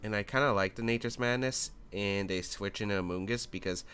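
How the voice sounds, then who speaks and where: A man with a lowish, smooth voice runs casual commentary on his own video, weighing each move out loud. He hedges with filler words and sounds a little under the weather. Faint music plays underneath. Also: fairly quick. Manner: thinks aloud, analytical, relaxed with fillers. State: a little sick. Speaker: YouTube competitive gaming host.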